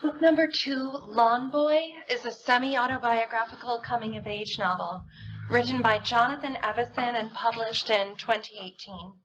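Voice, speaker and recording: A woman's voice: a little high, steady tone, normal speed, a bit bright. A woman presenter reading a prepared introduction at a live reading event. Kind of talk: prepared book introduction read aloud. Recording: muffled, noisy live event recording.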